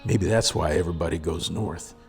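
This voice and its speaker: A man voicing a gruff, exasperated frontier husband with folksy rural speech in a storybook reading. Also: deep voice, brisk, darkish tone, faint music behind, pitched below the performer's own voice. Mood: wary.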